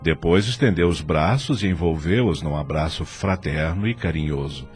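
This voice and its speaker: A man's voice: rumbling low, normal speed, faint music behind. A man with a Brazilian accent reading dramatic scripted narration for an audio novel in a solemn, literary, sentimental manner.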